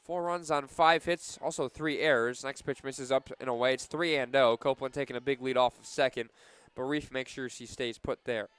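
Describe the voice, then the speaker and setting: A man calling live softball play-by-play for radio, measured between pitches and animated on big plays. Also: clean tone, no echo.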